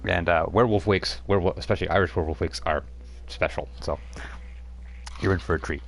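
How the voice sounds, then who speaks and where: A man narrating as game master, relaxed and halting, with frequent fillers. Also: low-pitched, faint music behind.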